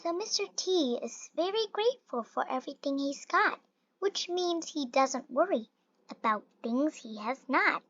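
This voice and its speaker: A reader telling a rhyming children's story in an expressive, deliberate storytelling manner. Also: high voice. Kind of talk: read-aloud of a rhyming children's picture book. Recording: dull lo-fi recording, little room sound.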